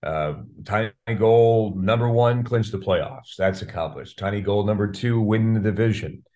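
Low-voiced man talking hockey, halting delivery. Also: warm tone. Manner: halting, word-repeating, wordy analysis. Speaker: radio play-by-play announcer, guest. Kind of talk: sports interview chat about hockey.

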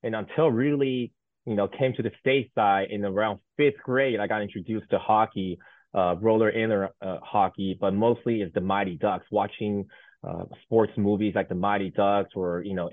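A man in his thirties from Taiwan, a native Mandarin speaker, talking as an interview guest in a lowish voice. He rambles and fills his sentences with fillers and restarts. The sound is dry and tinny, like a phone line. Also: fairly even intonation, normal speed, no room sound. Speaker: guest, Paralympic sled hockey goaltender. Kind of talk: casual interview chat.

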